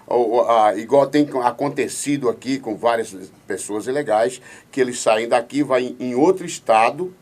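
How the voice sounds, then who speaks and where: A man's voice: medium pitch, normal speed, hardly any pauses, darkish tone. A man hosting a radio show, reading listener questions and politely laying out hypothetical cases. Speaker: radio host.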